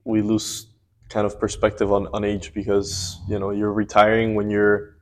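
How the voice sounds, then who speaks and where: A man in his twenties, born in Ecuador and raised in Miami, answering interview questions calmly and matter-of-factly. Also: on the low side, normal speed. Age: 20s. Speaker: guest, race car driver.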